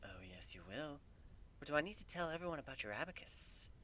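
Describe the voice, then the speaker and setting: Man playing a soft, sly elder pony princess. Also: fairly high pitch, big pitch swings, fairly quick, dry recording. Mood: sly, quiet. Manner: soft, composed.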